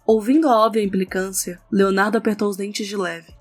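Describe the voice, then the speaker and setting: A woman's voice: medium pitch, steady tone, a little fast. A woman with a Brazilian accent reading scripted narration for an audio novel. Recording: music under the voice.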